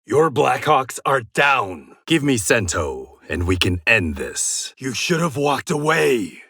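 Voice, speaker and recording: A man's voice: medium pitch, sing-song, takes it slowly, pushed and bright, dry recording, studio quality, full character voice. An actor playing a proud warrior and former gangster in a video game, confident and terse, with a sardonic edge to his short fight taunts. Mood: forceful, then stern, challenging.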